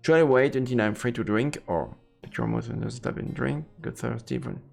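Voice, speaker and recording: A man's voice: mid-range voice, a little fast, gravelly. A man doing a playful let's-play, reading story text aloud and adding casual commentary. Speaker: streamer, let's-player. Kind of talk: game narration and casual commentary. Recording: roomy reverb, music behind.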